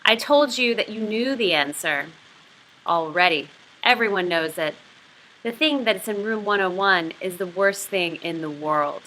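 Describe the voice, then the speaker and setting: A woman reading the part of a menacing, coldly controlled male interrogator in a novel, with slow, deliberate delivery. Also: medium pitch, lively intonation, bright, forceful tone, slight background noise, rough recording. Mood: cold menace. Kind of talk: audiobook-style novel reading.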